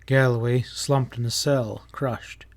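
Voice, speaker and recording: Mid-pitched man narrating a western. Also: fairly even intonation, takes it slowly. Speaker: audiobook reader. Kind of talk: dramatic narration of a western novel.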